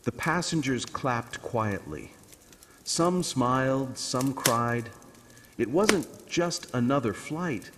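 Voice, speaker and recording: A man narrating a scripted bedtime story in a calm, soothing, dramatic way. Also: medium pitch, slow pace, long reverb tail, noisy background.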